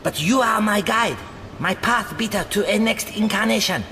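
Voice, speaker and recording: Dying game monk: high and gravelly, dazed, pleading, in a noisy reverberant hall. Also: male voice, normal speed, bright, forceful tone. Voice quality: high, creaky. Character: frail dying male monk, dazed and devout. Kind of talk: video game cutscene acting. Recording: reverberant hall, clear background noise.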